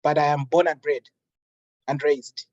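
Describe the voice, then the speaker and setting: A young man from Malawi leading a workshop, introducing himself in a relaxed, chatty way. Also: fairly high pitch, measured pace, no room sound, muffled recording. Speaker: workshop facilitator. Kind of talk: friendly classroom introduction.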